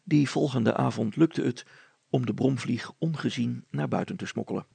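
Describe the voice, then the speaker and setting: A man reading a story aloud as an expressive narrator. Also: mid-range voice, moderate pace, vocal fry, husky, dry recording.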